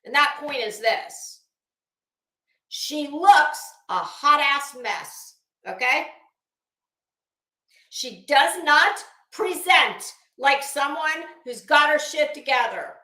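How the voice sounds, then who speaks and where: A woman's voice: high voice, expressive, slow, bright, forceful tone, reverberant. A woman doing casual livestream commentary as host, blunt, salty and emphatic, with a disdainful edge.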